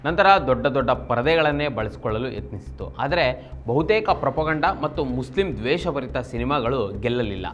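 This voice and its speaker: A man's voice: mid-range voice, expressive, moderate pace, lo-fi sound. A man from Karnataka presenting a scripted political news explainer, formal and expository, addressing viewers directly. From Karnataka, India.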